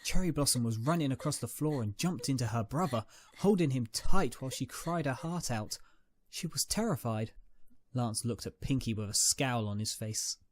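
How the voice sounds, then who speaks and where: Medium-pitched man narrating a dramatic story. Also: expressive, moderate pace, no echo. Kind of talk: scripted dramatic fan fiction narration.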